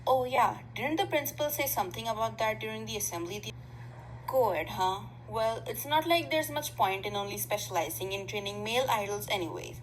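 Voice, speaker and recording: A woman plays a mild teenage boy idol in an anime fandub. The voice sits at an ordinary female pitch, casual, chatty and a little awkward. Faint noise runs behind the home recording. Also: fairly quick, lightly acted, poor audio. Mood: curious, musing. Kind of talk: amateur anime fandub acting.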